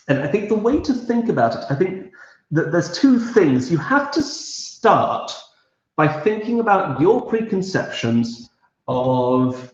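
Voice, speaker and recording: A man from Belgium hosting a pub quiz stream, chatting casually and reading out messages in a meandering, friendly way. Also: mid-range voice. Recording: roomy, slightly dull audio.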